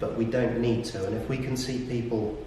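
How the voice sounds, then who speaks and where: A man explaining business tips conversationally, in hesitant, measured phrases. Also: mid-range voice, steady tone, mellow. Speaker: property business operator giving tips. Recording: echoey room, distant mic, faint noise.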